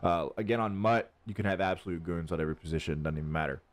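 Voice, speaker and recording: A man's voice: deep voice, fast talker. Nasal hyped man with twang. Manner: hyped, rambling, Southern-tinged slang. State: nasal from allergies. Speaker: gaming YouTuber. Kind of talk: casual game tutorial commentary.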